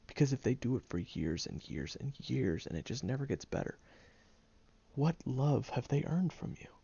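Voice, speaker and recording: A man plays a sarcastic, grumpy young guy in an audio roleplay who swears freely but softens into real care. His low, gravelly voice swings widely in pitch, with a dark, mellow tone on a dry, slightly muffled recording. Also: very animated, normal speed, full character voice. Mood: earnest. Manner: blunt and profane, gentle underneath. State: groggy, just woken up. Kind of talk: audio roleplay monologue to the listener.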